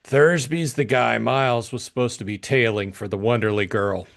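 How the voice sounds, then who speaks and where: A man playing a cynical, world-weary private detective in an audiobook, speaking in short, gruff lines. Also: mid-range voice, steady tone, normal speed, a bit bright. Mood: weary, grim. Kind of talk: audiobook character acting.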